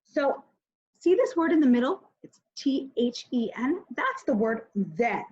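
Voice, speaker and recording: A woman's voice: sits high, very animated, measured pace, darkish tone, dull audio. A woman kindergarten teacher giving a recorded reading lesson, speaking simply and instructively straight to young children, with questions and thinking aloud.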